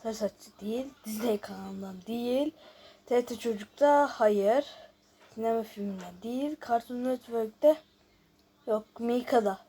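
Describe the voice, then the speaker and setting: A woman's voice: on the high side, a little slow, warm tone, breathy edge. A woman hosting a casual gameplay video, chatting and thinking aloud as she reads out questions and answers them. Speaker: YouTube host.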